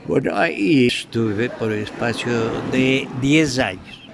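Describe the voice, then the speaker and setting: An older man with an Ecuadorian Spanish accent, reminiscing in an interview in a halting, deliberate way with pauses and repetitions. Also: medium pitch, lively intonation, vocal fry, background noise. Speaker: interviewee, veteran radio drama actor.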